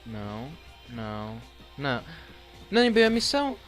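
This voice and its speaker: A man's voice: medium pitch, sing-song, moderate pace. A man with a Brazilian Portuguese accent giving casual gameplay commentary as a let's play host. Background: game music.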